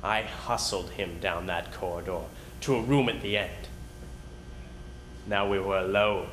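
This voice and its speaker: Guy reading a story dramatically, sharp forceful voice, lowish slow delivery, echoey room. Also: fairly even intonation. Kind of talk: dramatic reading of a narrative piece. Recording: roomy echo, faint rumbling noise.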